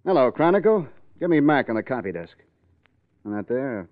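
A man's voice: mid-range voice, big pitch swings, normal speed, close to an everyday voice. Guy playing scornful bitter newspaperman, old radio drama, swingy voice, thin muffled recording. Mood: cold, scornful. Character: bitter, scornful male newspaper columnist confronting a woman. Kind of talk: 1940s radio drama acting.

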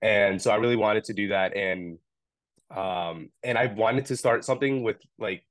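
A young man speaks in a relaxed, rambling way, his voice sitting on the low side. He fills his sentences with "um" and "like" as he answers as an interview guest. The sound is dry and close, with no room around it. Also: normal speed, no room sound. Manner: relaxed, rambling, many fillers like "um" and "like". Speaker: podcast guest, founder of a food retailer. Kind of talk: casual interview conversation.

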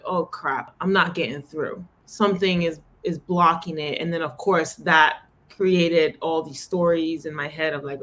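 A woman from the Bronx with a New York accent, a podcast guest telling stories in a casual interview and voicing her past thoughts aloud. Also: fairly low pitch. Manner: animated storytelling, quoting her own thoughts aloud.

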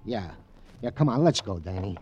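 An actor playing a fussing, loyal American police sergeant sidekick, folksy and stammering, as comic relief in an old radio drama. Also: male voice, on the low side, big pitch swings, moderate pace, a little mellow, slightly rough, lo-fi sound. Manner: stammering, folksy, mangles phrases.

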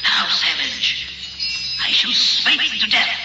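An actor playing a haughty, menacing, ancient crystalline alien in a radio horror drama, with a whispery, breathy voice and archaic, pompous speech. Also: male voice, high-pitched, huge pitch swings, takes it slowly, loud music behind the voice, exaggerated voice. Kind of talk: old time radio horror acting. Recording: noisy, muffled old radio recording, echoey, distant.

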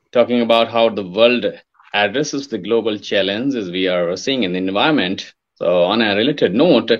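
A man with a lowish voice asks his questions haltingly, filling the gaps with uhs. His voice sounds dull and lo-fi. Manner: hesitant, many uhs. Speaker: interviewer. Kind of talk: interview questions. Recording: dull lo-fi audio.

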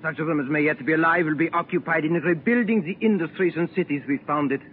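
An actor playing a condescending, coolly threatening Nazi occupation officer in a 1940s radio drama. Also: male voice, on the high side, quick pace, close to an everyday voice. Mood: coolly menacing. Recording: tinny, noisy 1940s radio recording.

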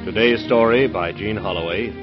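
A man's voice: lowish voice, normal speed. A man reading scripted credits as a 1940s radio announcer. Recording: dull vintage radio broadcast. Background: orchestral music.

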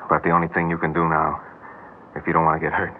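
An American actor playing an earnest, stubborn young boxer who talks in a halting, plain way, in 1960s radio drama style. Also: male voice, very deep voice, monotone. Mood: calm menace. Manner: halting, plain-spoken, deliberate. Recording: tinny, noisy old radio recording.

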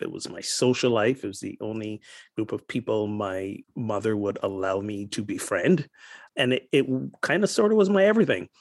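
A middle-aged man from Barbados with a Barbadian lilt, a minister telling his story as a podcast guest in animated, laughing bursts. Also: lowish voice, no room sound, studio quality. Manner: animated, laughs while telling stories, repeats words when starting a sentence. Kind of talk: conversational storytelling.

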